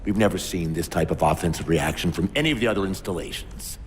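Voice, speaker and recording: An actor voicing a gruff, authoritative ship captain giving orders in a video game. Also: male voice, deep voice, fast, in a large hall, slight background noise, lightly acted.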